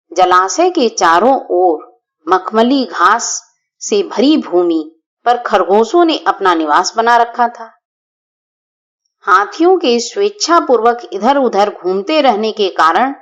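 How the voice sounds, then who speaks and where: A woman's voice: mid-range voice, muffled recording. A woman storyteller reading a scripted children's tale in a formal, careful manner.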